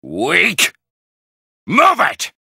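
A man's voice: high-pitched, wildly swooping pitch, drawn-out words, creaky, sharp tone, slightly rough, no room sound, studio quality, full character voice. A man voicing an arrogant, cold video game supervillain with a god complex, menacing and condescending in clipped lines. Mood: aggressive, shouting. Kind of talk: fighting game voice acting.

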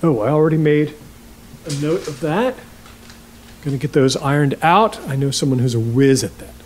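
An actor playing a lonely, awkward middle-aged rental host who rambles haltingly and repeats himself in a naturalistic audio drama. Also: male voice, a little high, a little hiss or hum, natural voice. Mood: eager to please.

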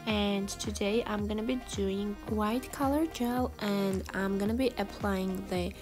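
A woman's voice: medium pitch, steady tone, unhurried. A woman giving a casual, chatty tutorial voice-over in a self-deprecating way, like a beauty vlogger. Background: background music.

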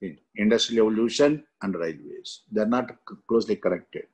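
A middle-aged man with an Indian accent and a husky voice, teaching exam questions in emphatic, choppy phrases with frequent check-in tags. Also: lowish voice, a little fast, darkish tone, muffled recording. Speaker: exam coaching history teacher. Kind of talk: lecture explaining exam questions.

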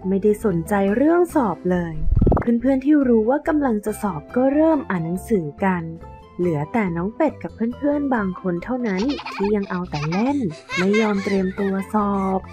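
A woman's voice: medium pitch, expressive, fairly quick. Woman narrating a children's story over music. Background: background music.

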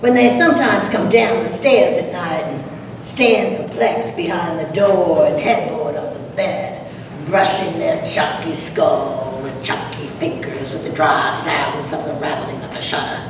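A woman giving a dramatic poetry reading as a haunted old farm widow confessing a buried secret in a theatrical, storytelling way. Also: low-pitched. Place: large lecture room, at a podium. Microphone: distant camera microphone. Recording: echoey hall from far, noisy.

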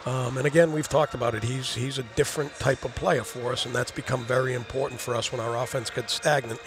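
A man's voice: mid-range voice, steady tone, fast, background noise. A middle-aged man, an assistant basketball coach, giving a rambling postgame interview on a headset mic in a studio set, often repeating words as he thinks. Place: studio set in a gym, indoors.

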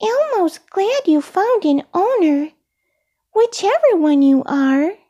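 A small, childlike storybook monster acted out by a woman speaks far up in a high register, polite and eager. The delivery swings up and down with earnest, simple phrasing. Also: sing-song, slow, mellow, dull audio, heavily acted. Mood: glad. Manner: childlike, refers to self in third person.

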